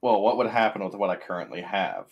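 A man's voice: lowish voice, big pitch swings, fast, creaky, husky, dull audio. A man chatting casually as a player in a tabletop game, blunt and joking, with some swearing. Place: online game session over voice chat.

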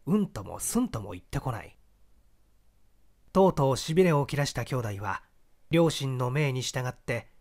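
A man reading literary fiction as scripted audiobook narration in an expressive storytelling manner. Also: on the high side. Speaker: audiobook reader.

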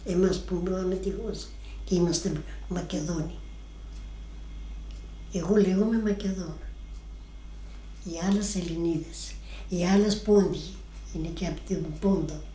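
A woman's voice: fairly low pitch, normal speed, pauses often, warm tone. Emphatic elderly Greek village woman. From Greece. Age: older. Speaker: interviewee, great-aunt of the reporter. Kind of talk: emotional personal recollection. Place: living room in a village home. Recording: roomy indoor sound with faint noise.

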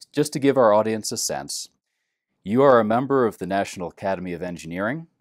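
A young man interviewer reads a formal introduction from his notes in a measured way, then asks his first question. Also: sits low. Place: office. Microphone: lapel.

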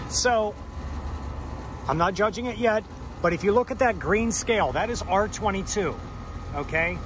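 A man in his thirties with a fairly high voice talks an audience through a repair on an outdoor unit, one step at a time. He keeps checking in with a quick "okay?". The camera mic picks him up outdoors, with faint traffic and street sound behind him. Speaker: HVAC technician. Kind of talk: hands-on how-to explanation. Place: outdoors by an air conditioning unit in a yard. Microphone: camera mic, handheld or on a tripod.

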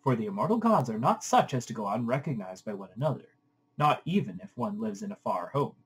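A man reading an epic poem aloud as an audiobook narrator, in an expressive literary style. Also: medium pitch, a little fast, breathy edge. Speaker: audiobook reader.

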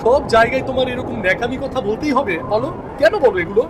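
A man's voice: very high-pitched, fast talker, background noise. A man voicing a protective, exasperated younger brother in a cartoon family drama, speaking emphatically. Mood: angry. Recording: loud music under the voice.

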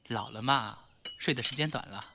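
A man playing a weary, evasive, wounded former surgeon in his thirties in an audio drama, soft-spoken and guarded. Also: medium pitch, gravelly, noisy background. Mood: evasive, casual. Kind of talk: audio drama acting in Mandarin.

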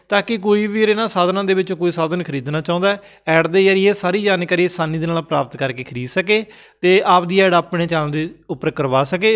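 A man with a Punjabi accent, host of a farm machinery sales channel, reads sale listings in a voice-over with brisk salesman patter and repeats prices and phone numbers. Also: high voice, a bit bright.

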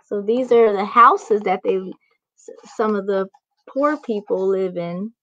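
A woman's voice: mid-range voice, takes it slowly, a bit bright, highs cut off. A young adult woman, a children's author, reading a storybook aloud and explaining it simply to young listeners. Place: small indoor room.

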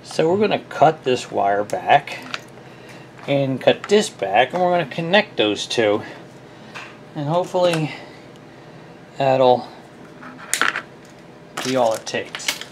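A man's voice: medium pitch, lively intonation, takes it slowly, long pauses, a little hiss or hum. A middle-aged man casually talking through a repair at his workshop bench, thinking aloud with a self-deprecating, easygoing manner. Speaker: small engine repair host. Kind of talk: casual hands-on repair tutorial.

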